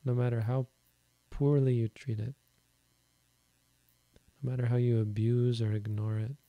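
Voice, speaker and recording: A man with a quiet voice giving a calm, slow Buddhist talk to an online audience. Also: mid-range voice, no room sound, highs cut off. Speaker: Buddhist teacher. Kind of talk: dharma talk.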